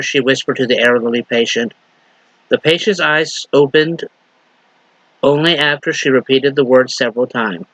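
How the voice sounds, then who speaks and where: Minister with a lingering slight cough. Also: male voice, mid-range voice, a bit bright, no echo, rough recording. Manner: unhurried, halting with fillers and restarts. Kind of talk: sermon with story reading.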